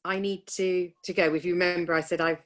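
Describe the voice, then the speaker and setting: A woman's voice: lowish voice, level tone, fast. A woman chatting casually on a group call, speaking politely and apologetically.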